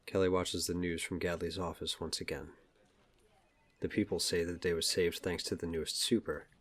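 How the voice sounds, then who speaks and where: A man's voice: low voice, little pitch movement, normal speed, a bit bright, husky. A man reading scripted present-tense narration for an audio drama. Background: music.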